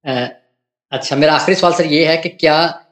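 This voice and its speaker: A man speaks as a caller on a live religious show. His pitch is a bit higher than average for a man. He is deferential and careful, with some hesitation in his phrasing. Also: fairly even intonation, normal speed, a bit bright. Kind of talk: asking questions respectfully on a live call. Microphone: remote internet call.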